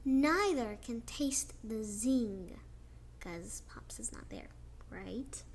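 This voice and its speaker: A young girl reading a children's picture book aloud, slowly and expressively, with chatty asides about the pictures. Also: fairly high pitch, expressive. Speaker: child storyteller.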